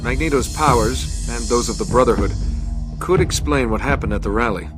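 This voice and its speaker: An actor voicing a calm, reassuring male leader of a mutant hero team in a video game. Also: mid-range voice, brisk, close to an everyday voice. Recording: game audio with loud music behind.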